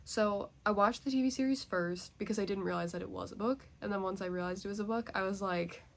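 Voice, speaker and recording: A young woman rambles through a casual book vlog in a stuffy, congested voice, stopping often to hedge and fill. Her mid-pitched voice drops into a gravelly fry. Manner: rambling, hesitant, many fillers. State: nasally congested. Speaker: book vlogger. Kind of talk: casual chat.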